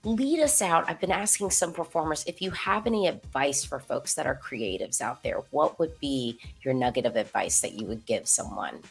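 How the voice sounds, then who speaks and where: A woman's voice: low-pitched, animated, normal speed, gravelly, few pauses, faint music behind. An American woman interviewing a guest in a casual, chatty way, her questions full of fillers. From United States.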